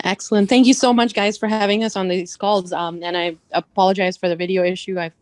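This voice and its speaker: A woman's voice: mid-range voice, little pitch movement, fairly quick, darkish tone, dry recording. A woman in finance and accounting talking on a video call from her office, upbeat and chatty with many fillers. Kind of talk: panel talk on a video call.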